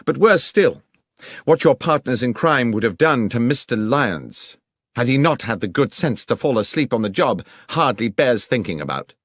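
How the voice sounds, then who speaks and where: A man's voice: medium pitch, very animated, normal speed, no room sound, clean studio sound. A man voicing a brilliant, coolly confident detective with a British accent and a precise, assured delivery in an audiobook. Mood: accusing. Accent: British English.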